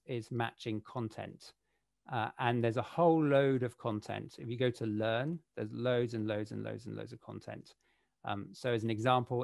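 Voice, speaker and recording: A man presenting a screen-share walkthrough in a webinar, talking in a halting way with many fillers and restarts. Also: mid-range voice, vocal fry, no echo, studio quality. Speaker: webinar presenter for a sourcing platform.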